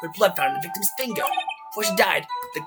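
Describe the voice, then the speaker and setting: A man voicing an eager, bumbling police detective in a game fan dub, with a gruff, strained throaty voice and a deferential manner. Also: high-pitched, racing, over loud music. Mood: earnest, confident. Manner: deferential, peppering answers with sir. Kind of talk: video game fan dub character acting.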